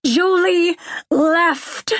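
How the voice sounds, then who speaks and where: A woman's voice: medium pitch, moderate pace, slightly rough, no echo, clean studio sound, natural voice. A woman voicing an anguished mother in an audiobook, emotional and desperate in her guilt and grief. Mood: screaming, anguished.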